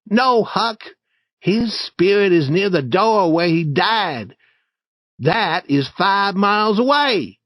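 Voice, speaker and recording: A man's voice: high voice, takes it slowly, no room sound, voice pushed up, full character voice. A man reading a story, voicing a bold, eager young boy who leads the way. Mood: excited. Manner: confident, excited, urging.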